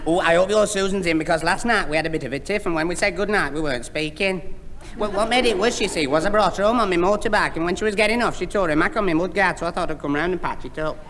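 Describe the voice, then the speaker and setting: A man's voice: high voice, quick pace, fairly bright tone. A man playing a bashful, gormless young suitor who stammers and dithers in a Northern English accent in a 1960s radio sitcom. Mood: nervous, chatty. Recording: echoey hissy vintage radio recording.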